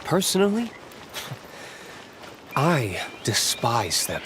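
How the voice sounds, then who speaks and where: Aloof, deadpan young nobleman. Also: mid-range voice, slow, slight background noise, heavily acted. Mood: disdainful. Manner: deadpan, dry, terse. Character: aloof, brooding young nobleman, dryly disdainful. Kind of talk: anime game dub.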